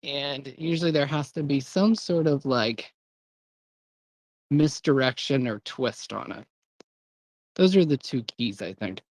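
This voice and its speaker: A man's voice: mid-range voice, lively intonation, measured pace, pauses often, no echo. A man in his late forties chatting casually on a podcast, rambling with lots of fillers. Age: 40s. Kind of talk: casual chat.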